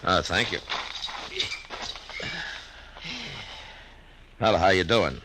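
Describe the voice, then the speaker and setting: An actor playing a laconic, weary American frontier marshal, acting and narrating in an understated, terse way in a 1950s radio western. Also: male voice, deep voice, background noise. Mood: low-key, probing. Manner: understated, hesitant pauses, terse. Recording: vintage radio recording, loud music behind.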